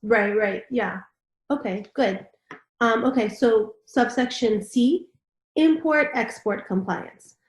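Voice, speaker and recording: A woman's voice: on the high side, takes it slowly. A woman in a read-along panel discussion of a technical standard, with a roomy sound.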